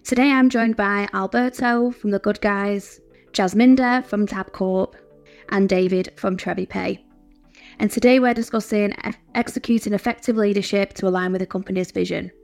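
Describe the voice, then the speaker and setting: A woman's voice: mid-range voice, fairly even intonation, moderate pace, darkish tone, faint music behind. A woman hosting a podcast panel, introducing the guests and moderating the talk in a friendly, upbeat way. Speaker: podcast host.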